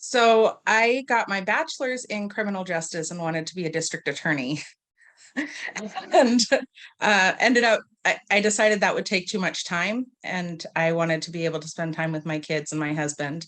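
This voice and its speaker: A woman's voice: lowish voice, normal speed, a bit bright. Woman therapist explaining her approach. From Massachusetts, United States. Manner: clear, explanatory, light laughs. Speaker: marriage and family therapist, guest. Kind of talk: video call interview. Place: home in Dallas, Texas.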